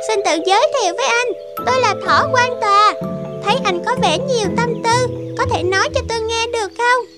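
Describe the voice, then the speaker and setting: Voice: very high voice, brisk. An actor voicing a clever, confident little rabbit judge in a children's fairy-tale cartoon, speaking in a reassuring, articulate way. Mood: friendly, reassuring. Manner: self-assured, reassuring, articulate. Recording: loud music under the voice.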